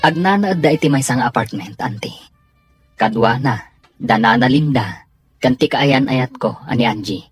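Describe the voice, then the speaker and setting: A man's voice: medium pitch, lively intonation, moderate pace, faint music behind, close to an everyday voice. A Filipino man playing a respectful young nephew in a radio drama, polite and reluctant in manner. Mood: reluctant, worried. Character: hesitant, respectful young nephew guarding a secret. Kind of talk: Ilocano radio drama acting.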